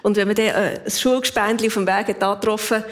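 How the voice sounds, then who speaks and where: Swiss German woman in her fifties, relaxed storyteller with frequent laughs. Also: medium pitch, fast talker. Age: 50s. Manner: laughs often, reflective storytelling. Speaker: guest. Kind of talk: casual conversation.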